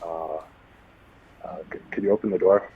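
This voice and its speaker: A man's voice: low-pitched, fairly even intonation, normal speed, soft background music. A man leaving a hesitant, stammering voicemail message over the phone. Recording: narrow tinny phone voicemail.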